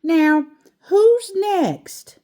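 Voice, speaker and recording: Storybook schoolteacher, played by a woman reader: kind, encouraging, unhurried, very melodic delivery. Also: extremely high pitch, animated, voice pushed up, exaggerated voice. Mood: bright, encouraging. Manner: gentle, slow, encouraging, teacherly. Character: kind, gentle woman schoolteacher in a picture book.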